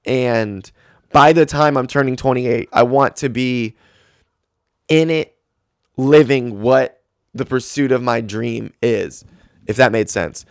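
A man's voice: mid-range voice, slow pace, creaky, long pauses, no echo, studio quality. A man in his twenties hosting a solo podcast, talking casually and rambling with lots of fillers. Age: 20s. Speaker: podcast host.

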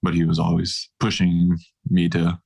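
A man in his thirties, a professional basketball player, answering interview questions in a relaxed, thoughtful way. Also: low voice, moderate pace, gravelly, breathy edge. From grew up across Asia, mostly Taiwan.

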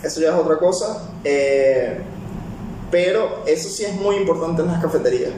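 A man's voice: on the high side, normal speed, a little mellow, far from the mic, slight background noise. Venezuelan man in an echoey room. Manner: rambling, many fillers and restarts. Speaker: barista. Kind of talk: casual personal talk.

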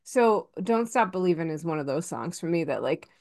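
A woman's voice: fairly low pitch, expressive, normal speed, darkish tone. A woman in her forties, a podcast co-host, talking in a chatty, rambling way and laughing often. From Massachusetts. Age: 40s. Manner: many fillers and restarts. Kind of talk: casual chat.

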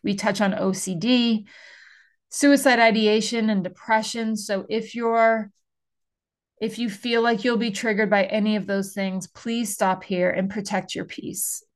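A woman's voice: mid-range voice, fairly even intonation, unhurried. A middle-aged woman hosting a podcast interview, chatty and upbeat with frequent fillers. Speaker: podcast host.

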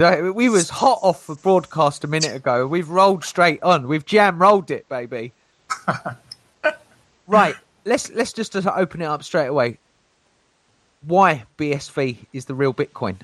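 A man's voice: lively intonation, measured pace, a bit bright, dry recording. A man hosting a casual crypto talk show, playful and showy, laughing often. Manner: playful showman, jokey put-on low announcer voice, laughs a lot. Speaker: crypto channel host.

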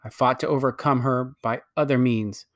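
A man voicing a proud, ruthless queen with a slow, stately, commanding delivery. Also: mid-range voice, fairly even intonation, a bit bright, no room sound, studio quality, natural voice. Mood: imperious.

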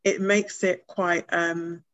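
A woman's voice: lowish voice, little pitch movement, slow pace, no room sound, highs cut off. A woman who grew up in Britain with Ghanaian roots, a clinician talking casually and thoughtfully with many fillers and restarts. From Ghanaian family, grew up in the UK. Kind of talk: casual podcast conversation.

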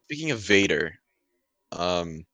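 A man's voice: deep voice, big pitch swings, slow pace, crowd noise. A man chatting casually as one of the panelists on a podcast. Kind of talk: casual chat.

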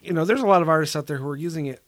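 A man co-hosts a relaxed podcast chat and offers his views in a plain, easygoing way. His voice sits a little higher than most men's. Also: very fast, no echo. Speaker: podcast co-host. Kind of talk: casual podcast conversation.

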